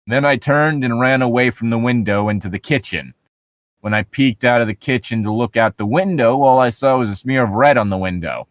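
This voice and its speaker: A man's voice: medium pitch, fairly quick, no room sound. A man reading a story aloud in a casual way, breaking off to quip about it.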